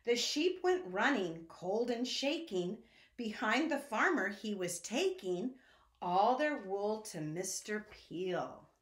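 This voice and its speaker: Animated, slow-paced woman reading to children. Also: medium pitch. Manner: expressive, sing-song, addressing children directly. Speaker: storyteller reading to young children. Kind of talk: children's picture-book read-aloud. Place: indoor room at home. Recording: echoey room.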